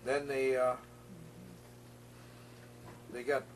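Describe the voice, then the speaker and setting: An older man from the United States recalling his family's history in a plain, halting way with many pauses, interviewed at a family gathering. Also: mid-range voice, little pitch movement, slight background noise, rough recording.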